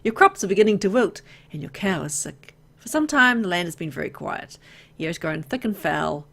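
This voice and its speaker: A woman with a fairly low voice and plenty of vocal fry narrates a story game. She reads the text aloud like a storyteller, then slips into casual, playful remarks of her own as a player. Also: lively intonation, fairly quick, a bit bright. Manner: reacts playfully to the story with exclamations and asides. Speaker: video game let's player.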